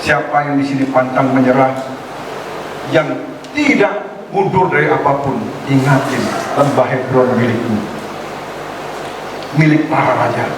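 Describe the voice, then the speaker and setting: Lively preaching man in an echoey church. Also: mid-range voice, measured pace, pauses often. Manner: lively preaching, acting out quoted voices, asks congregation questions. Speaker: pastor. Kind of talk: sermon. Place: church hall. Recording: echoey hall, distant mic, noise.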